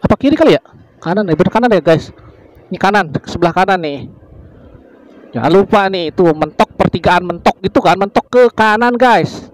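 A man's voice: sits high, animated, brisk, dry recording, poor audio. A man from Indonesia narrating a motorbike ride through village roads in casual, chatty fashion, constantly addressing his viewers and wondering aloud about the way ahead. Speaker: motovlogger.

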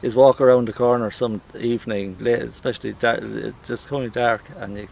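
Gravelly Irish farmer, halting speech. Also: male voice, mid-range voice, steady tone, a little mellow. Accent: Irish, Midlands. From Ireland. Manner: halting, many restarts and fillers. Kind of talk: casual interview. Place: outdoors in a field, then inside a farm shed.

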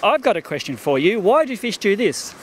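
A man's voice: sits high, very animated, brisk, very noisy. A man interviewing a farmer outdoors, cheerfully reading questions from a sheet into a handheld mic. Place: outdoors beside farm ponds.

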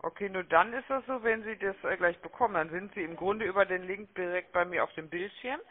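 A man's voice: sits high, a little fast. A man working as a call-centre agent, chummy and wordy, often ending sentences with little questioning tags. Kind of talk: chatty, unscripted sales phone call. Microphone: telephone line. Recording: muffled, narrow-band phone-call audio.

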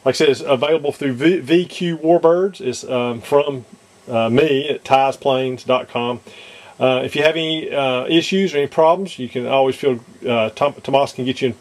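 A man casually showing how to fit a model cockpit kit in a workshop, talking haltingly with many fillers and restarts. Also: mid-range voice. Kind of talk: casual hands-on demonstration. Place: workshop, indoors.

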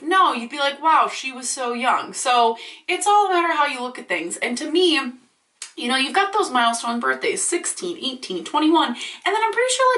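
A woman in her late twenties, vlogging in a chatty, animated, ranty way, swearing freely and a bit congested from allergies. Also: a little high. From Michigan. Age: 20s. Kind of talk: casual ranting vlog. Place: small indoor room. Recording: roomy echo, slightly distant.

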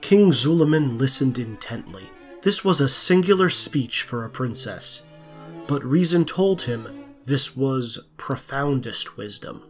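A man's voice: medium pitch, expressive, a little slow. Man, narrator, scripted fairy tale storytelling, faint music behind.

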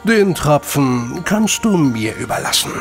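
A man acting a shrewd, condescending gentleman in an audio drama, with formal, measured phrasing and a creaky voice. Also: on the high side, very animated, faint music behind. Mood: dry, sardonic. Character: shrewd, superior gentleman, elder brother of a detective.

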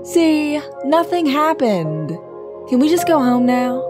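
A woman's voice: high-pitched, very animated, takes it slowly, over loud music, exaggerated voice. A woman acting an exasperated, fearless, teasing partner in an audio drama, with a no-nonsense, mocking way of talking. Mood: teasing, dismissive.

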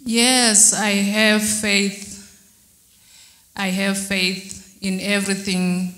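A young woman giving a church testimony into a handheld mic, halting and hesitant with fillers and restarts. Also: medium pitch, level tone, a bit bright. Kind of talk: testimony interview. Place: church hall backdrop, indoors. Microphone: handheld mic held by interviewer. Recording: roomy hall sound.

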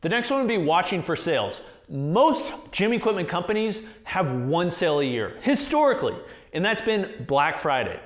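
Higher-voiced American man in an echoey room. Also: big pitch swings, moderate pace. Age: 30s. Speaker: fitness equipment reviewer and video host. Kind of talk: informal explainer to camera. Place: indoor garage gym. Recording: roomy echo.

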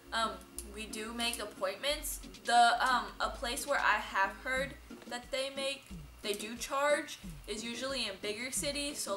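A woman's voice: on the high side, sharp tone. A young adult woman chatting informally as she gives explanatory advice as a vlog host. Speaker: vlog host, boutique worker. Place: indoor dress shop. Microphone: camera a few metres away. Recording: roomy sound from camera distance.

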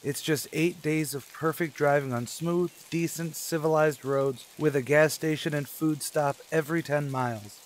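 An actor playing an anxious American man in his thirties in an old-time radio thriller, with measured, dramatic delivery. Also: a little high, fairly even intonation, a little hiss or hum, lightly acted. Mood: affectionate, reassuring. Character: anxious 36-year-old American man telling his eerie road story. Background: music.

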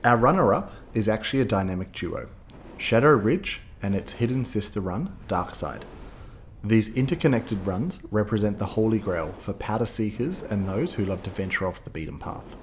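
Lowish-voiced man narrating. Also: expressive, normal speed, a little hiss or hum. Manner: upbeat, guiding listeners step by step. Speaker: ski guide narrator. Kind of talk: scripted voice-over narration.

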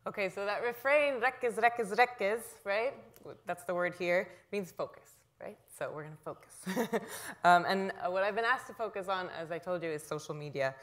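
A woman's voice: mid-range voice, normal speed. A woman in her thirties giving a public lecture in a hall, talking to the audience in a conversational way and often checking in with "right?". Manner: self-described loud voice.

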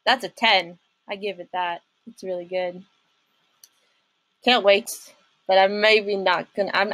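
A young adult woman giving casual reaction commentary as a video host, rambling informally and excitably. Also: mid-range voice, no room sound. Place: small room at home.

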